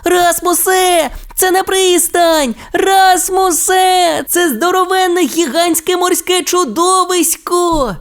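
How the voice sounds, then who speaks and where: A storyteller voicing a fast, excitable little male dinosaur, in a very high, squealing voice. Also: lightly acted. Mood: alarmed.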